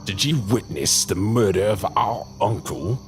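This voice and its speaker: A man's voice: on the low side, lively intonation, measured pace, soft background music. An actor playing a polite, hesitant and earnest young man in a horror audio drama. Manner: courteous, hesitant.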